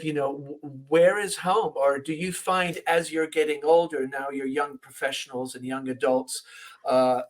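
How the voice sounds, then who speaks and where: A man's voice: fairly high pitch, rough recording. A man hosting a podcast, interviewing guests with long rambling questions. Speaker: podcast host.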